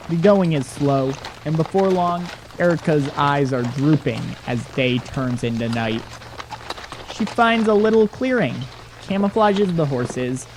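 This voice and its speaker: A man's voice: a little high, darkish tone, slight background noise. A man reading scripted dramatic narration as the narrator of an audio drama.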